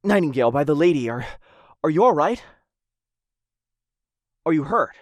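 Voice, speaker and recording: A man playing a protective vampire lord in an ASMR boyfriend roleplay, soft-spoken and tender with close whispers. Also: a little high, lively intonation, moderate pace, dry recording, lightly acted. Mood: shaken. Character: protective young vampire lord, gentle but fierce.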